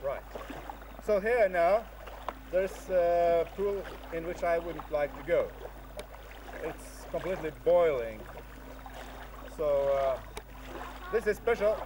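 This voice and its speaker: A man's voice: on the high side, takes it slowly, long pauses. Norwegian man chatting at a distance from a camcorder. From Norway. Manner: chatty, friendly with strangers. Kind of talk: casual chat while filming. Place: outdoors at a hot pool. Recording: distant, noisy outdoor camcorder audio.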